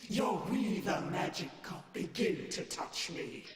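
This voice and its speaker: An actor voicing Death in a video game, a menacing reaper who speaks in slow, imperious, scornful threats. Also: mid-range voice, animated, roomy sound. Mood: cold scornful menace. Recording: loud game music over the voice.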